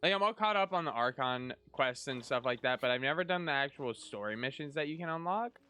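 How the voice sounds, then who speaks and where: A male streamer talking casually over his gameplay. His mid-pitched voice is bright and very clear, and he chats informally with faint music underneath. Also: expressive, fairly quick. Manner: informal, chatty. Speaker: streamer, gamer. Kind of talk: casual let's-play commentary.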